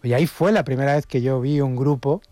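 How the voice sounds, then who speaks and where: A middle-aged man from Madrid with a warm, mellow voice, speaking as a guest. He talks in a thoughtful, halting way, full of fillers. His voice sounds close and dry. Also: mid-range voice, no echo. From Madrid, Spain. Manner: reflective, hesitant, with many fillers. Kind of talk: casual radio chat.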